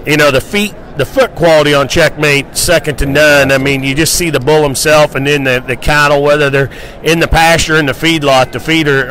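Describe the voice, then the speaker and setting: A man's voice: a little high, a bit bright, poor audio. A middle-aged man hosting a casual promotional livestock talk into a handheld microphone. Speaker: livestock show host. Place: indoor convention hall booth.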